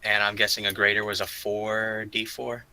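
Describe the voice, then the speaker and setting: A man's voice: lowish voice, flat delivery, moderate pace, bright, forceful tone, a little hiss or hum, poor audio. A man chatting casually as a player in a tabletop role-playing game.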